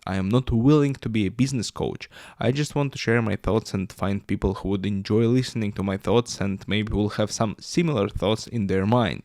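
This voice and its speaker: Young man with a gravelly voice. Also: lowish voice, a little mellow, no room sound, studio quality. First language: Russian. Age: 20s. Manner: rambling, frequent fillers and restarts. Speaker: podcast host. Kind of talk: casual solo podcast monologue.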